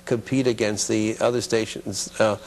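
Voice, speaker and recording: A middle-aged man, a guest in a casual interview, reminisces haltingly and pauses as he searches for details. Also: fairly low pitch, flat delivery, a little hiss or hum, poor audio. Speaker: talk show guest; advertising executive, former children's TV producer.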